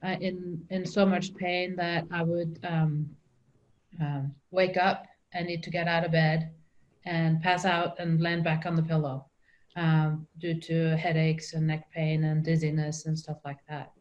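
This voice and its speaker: A middle-aged woman with a Norwegian accent tells her story in a conversational interview. She talks haltingly, with many ums and restarts. Her voice sits slightly low. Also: flat delivery, a little mellow, highs cut off. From Norway. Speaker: guest.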